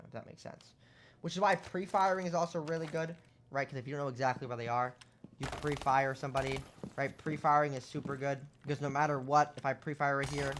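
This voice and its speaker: A young man with a voice going hoarse, casually explaining shooter game tactics over gameplay and often checking in with "right?". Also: medium pitch, fairly bright tone, a little hiss or hum. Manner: explanatory, rhetorical questions, lots of "right?". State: losing his voice. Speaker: gaming content creator. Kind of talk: casual gameplay tips commentary.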